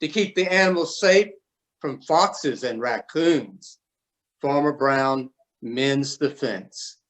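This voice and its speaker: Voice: mid-range voice, takes it slowly. A man narrating a children's storybook as a readers theatre performer. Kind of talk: storybook reading.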